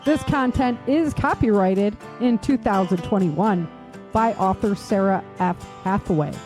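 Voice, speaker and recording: A woman's voice: mid-range voice, unhurried, darkish tone, faint music behind. A woman hosting a podcast as its author, speaking in an informal, conversational style. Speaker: podcast host and audio drama author. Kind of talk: casual podcast chat.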